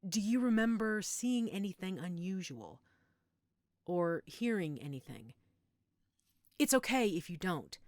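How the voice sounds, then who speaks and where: Woman performing motherly healer, middle-aged, even low-key pitch, slow pace, gentle and reassuring, close dry sound, audio drama. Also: dry recording. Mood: gentle, serious. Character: calm motherly werewolf-den healer named Judith, tending a patient. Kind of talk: audio roleplay acting.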